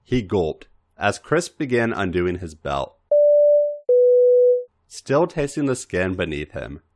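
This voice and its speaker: A man reading a story aloud as expressive, scripted podcast narration. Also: low voice, slow pace.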